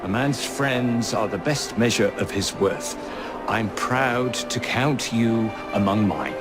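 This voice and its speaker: Elderly Victorian scholar, English accent, dignified, stubborn, lively swooping intonation, music underneath. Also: male voice, medium pitch, takes it slowly. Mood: grateful. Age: older. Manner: formal, eloquent. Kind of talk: video game cutscene acting.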